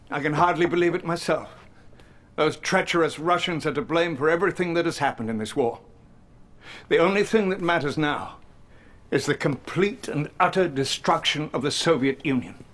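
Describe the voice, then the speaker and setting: An actor playing a stern allied leader who gives firm orders to a commander. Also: male voice, fairly high pitch, fairly bright tone.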